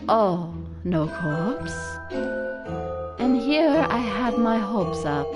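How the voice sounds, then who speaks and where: A morbid male bird doctor from a video game, as a woman puts him on. He is formal and politely sinister, very slow in delivery, with music behind the voice. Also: mid-range voice, sing-song. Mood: morbid, detached.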